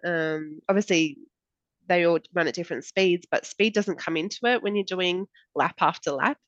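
A woman's voice: on the low side, moderate pace, no echo, muffled recording. A woman in her forties with an Australian accent, co-hosting a casual running podcast, her voice nasal from a cold. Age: 40s. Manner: chatty, many fillers and laughs. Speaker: podcast co-host. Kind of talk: casual chat.

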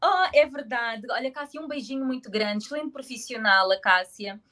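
A woman's voice: fairly high pitch, normal speed, pushed and bright. A woman in her forties from Angola who grew up in Portugal, chatting casually and playfully as an interview guest. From born in Angola, grew up in Portugal. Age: 40s. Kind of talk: casual chat.

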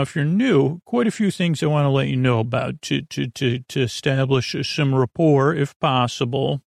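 A man with a creaky voice hosting a sleep podcast, rambling in a lulling, soothing way with halting tangents and word fragments. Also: mid-range voice, no echo, clean studio sound. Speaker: podcast host, bedtime storyteller. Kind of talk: meandering bedtime monologue for a sleep podcast.

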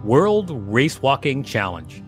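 A man's voice: medium pitch, very animated, slow pace. Male podcast co-host, medium register, reads encyclopedia copy and comments casually, faint guitar music behind. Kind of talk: reading an encyclopedia article aloud with casual asides.

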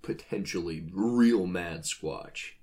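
A man's voice: low-pitched, slow, a little mellow. A man chatting casually as a podcast co-host, with a wry, joking way of speculating.

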